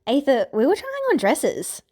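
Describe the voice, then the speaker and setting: A wild, proud princess of thirteen, played by a woman reading aloud, pitched high and girlish. She is defiant and quick to take offence in a fantasy audiobook. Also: wildly swooping pitch, dark tone, heavily acted. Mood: startled, indignant. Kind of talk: fan fiction audiobook character voice.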